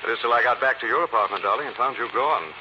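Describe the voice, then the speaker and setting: A man's voice: medium pitch, racing, close to an everyday voice. A man as a suave sleuth, muffled radio sound. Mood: assured, wry. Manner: articulate, explanatory, urbane. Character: suave, assured gentleman sleuth. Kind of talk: 1940s radio acting. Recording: muffled, noisy old radio broadcast.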